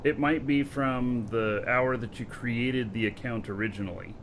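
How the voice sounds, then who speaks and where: A male livestream host reads out viewer questions and answers them plainly and calmly. His voice is mid-pitched for a man, with a faint air-conditioner hum under it. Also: moderate pace. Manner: relaxed, practical, offering suggestions. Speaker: stream host. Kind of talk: casual livestream chat, reading viewer questions.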